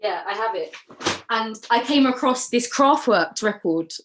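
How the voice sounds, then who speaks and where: A woman's voice: on the high side, lively intonation, unhurried, noisy background. A woman interviewing guests, asking friendly follow-up questions in casual chat.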